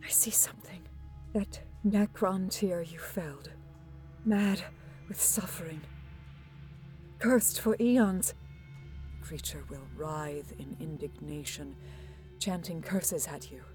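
A grave elven seeress, slow and hushed, breathing out her warnings. She sounds cautious and foreboding in a science fantasy audio drama with a musical score. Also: deep voice, faint music behind. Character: solemn female elf seer, cautious, foreboding lover of a warrior.

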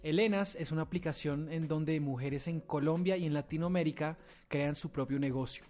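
A man's voice: a little high, moderate pace, darkish tone. A man hosting a startup podcast, upbeat, reading a scripted opening and interviewing a guest. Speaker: podcast host.

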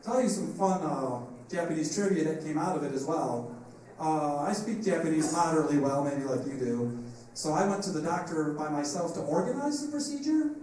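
A man's voice: a little high, warm tone. A middle-aged man, a comedian and podcast host, speaking casually as he interviews and tells comic anecdotes. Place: convention. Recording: echoey, noisy convention, distant mic.

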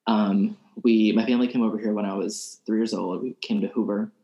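A young man from Alabama, a podcast guest musician, talking in a soft-spoken, hesitant way with many ums. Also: lowish voice, steady tone, fairly quick, muffled recording. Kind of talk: casual interview chat. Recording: roomy echo.

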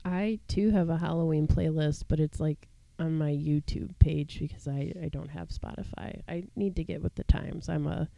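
A woman in her thirties co-hosting a podcast, husky from a cold and chatting in a lively way with fillers and laughs. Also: sits low, normal speed, few pauses, dry recording, highs cut off. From Ohio. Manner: animated, laughs between lines, trails off into fillers. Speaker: podcast co-host. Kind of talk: casual chat.

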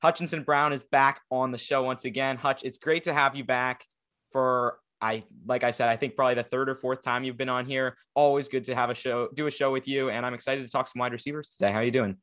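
A man's voice: mid-range voice, steady tone, brisk, a bit bright. A young man hosting a sports podcast in a casual, rambling chat with a guest. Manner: rambling, filler-heavy, conversational. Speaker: podcast host.

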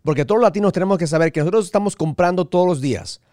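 Podcast host, male, voice a bit high, code-switches into English, very dry recording. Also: rapid-fire, mellow, no echo. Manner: mixes English words into Spanish. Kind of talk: podcast interview.

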